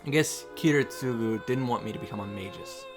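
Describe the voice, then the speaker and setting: A man reading the visual novel monologue of an earnest, determined young student of magic, in a hushed, solemn, measured way. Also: medium pitch, close to an everyday voice. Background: background music.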